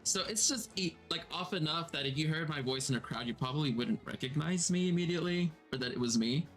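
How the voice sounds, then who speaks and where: A man chats on a home stream with his voice processed a little lower and more resonant. He rambles casually and pokes fun at himself, filling pauses with plenty of likes and ums. Faint music plays underneath. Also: low-quality recording. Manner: chatty, self-deprecating, full of fillers. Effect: voice processed slightly lower and more resonant. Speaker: streamer and game master.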